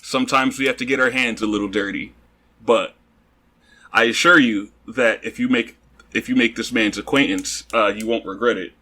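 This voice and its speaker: Man as glib male fixer, tabletop game character, bright forceful tone, streetwise, persuasive, halting. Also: mid-range voice, steady tone. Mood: earnest, persuasive. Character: glib, streetwise male go-between vouching for his patron.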